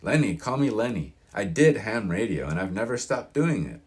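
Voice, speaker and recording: Man playing elderly storybook old-timer, crusty, grating, folksy, proud, very lively intonation, raised pitch. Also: moderate pace. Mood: wistful, chatty. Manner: folksy, emphatic, rambling. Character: crusty old mountain-town man, proud, opinionated, wistful. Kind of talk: audiobook character reading.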